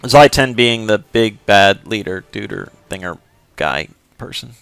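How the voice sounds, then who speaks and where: A young man gives casual commentary while he plays a game, joking and offhand, and now and then he breaks into excitement. His voice is gravelly, with a lively, swinging pitch. The recording is dry, with soft game music behind him. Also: unhurried, dry recording. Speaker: let's play host.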